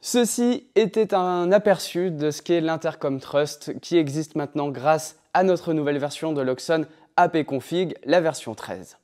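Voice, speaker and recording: A man presenting a scripted explainer in a clear, didactic, step-by-step way. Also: on the high side, measured pace, hardly any pauses, darkish tone. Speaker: tutorial presenter. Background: background music.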